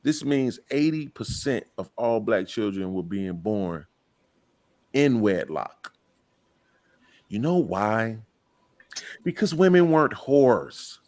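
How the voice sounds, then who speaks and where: A man's voice: lowish voice, big pitch swings, takes it slowly, long pauses, darkish tone. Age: middle-aged. Sex: man. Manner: blunt, profane, preachy podcast rant. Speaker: podcast panelist.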